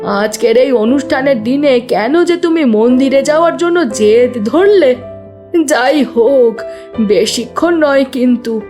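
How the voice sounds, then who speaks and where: A woman's voice: high-pitched, unhurried. A woman playing a devoted, anxious governess in an audio drama, speaking emphatically and with emotion. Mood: mild scolding. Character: devoted governess, anxious and protective of her young charge. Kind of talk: dramatised audio story acting. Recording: music behind the voice.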